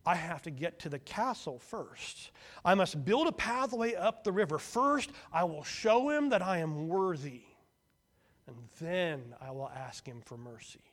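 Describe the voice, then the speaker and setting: A man voicing an earnest, hurried young man straining to work against a river current. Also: mid-range voice, natural voice. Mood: strained earnest.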